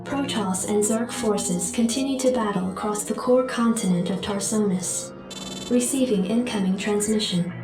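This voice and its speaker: A woman's voice: mid-range voice, normal speed. An actress playing an impassive female military computer adjutant in a sci-fi game, giving clipped, formal briefings through echoing synthetic processing. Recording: heavily echoed, distant, over music.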